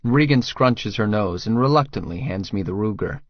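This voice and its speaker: A man's voice: on the low side, animated, moderate pace, dry recording, muffled recording. A man reading first-person fiction as a scripted audiobook narrator.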